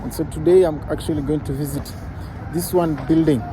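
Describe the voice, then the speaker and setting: A man's voice: fairly high pitch, fairly even intonation, fairly quick. A young Kenyan man with a Kenyan accent, chatting casually and rambling a bit as he vlogs outdoors in the city. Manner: chatty, rambling, self-correcting. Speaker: vlogger. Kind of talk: casual walking vlog. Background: traffic noise.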